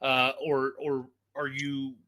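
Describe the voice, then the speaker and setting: Very bright, sharp-voiced man hosting. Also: mid-range voice, completely flat delivery, slow pace. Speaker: host, executive producer. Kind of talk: casual interviewing.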